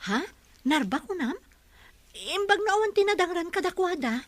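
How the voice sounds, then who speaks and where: A woman's voice: very high voice, big pitch swings, moderate pace, dry recording. An actress with a Filipino accent playing a modest, polite woman working overseas in an Ilocano radio drama. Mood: concerned. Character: modest, respectful Filipina working abroad for a widowed boss.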